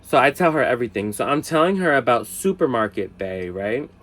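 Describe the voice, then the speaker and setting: A man in his twenties telling a casual story, chatty, swearing, with lots of "like" fillers and high-voiced impressions of other people. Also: lively intonation, a little fast, a bit bright. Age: 20s. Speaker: storyteller vlogger.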